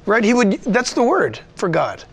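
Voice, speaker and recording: A man's voice: high-pitched, huge pitch swings, moderate pace, husky. A man hosting a talk show, asking pointed rhetorical questions and often repeating words as he thinks. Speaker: host. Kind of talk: conversational talk show interview.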